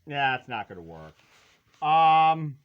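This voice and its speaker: Guy doing a goofy folksy show n tell, really slow n clear, kinda lo-fi sound. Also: on the high side, very animated. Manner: playful, sing-song, hammy delivery with drawn-out words. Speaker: presenter showing a retro game collectible. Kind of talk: casual rambling unboxing chat.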